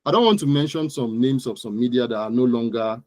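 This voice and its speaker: A man's voice: mid-range voice, a little fast, a little mellow, no room sound. A man with a Nigerian accent giving interview answers as an expert guest, explaining things emphatically and often repeating words and phrases. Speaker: guest digital marketing expert.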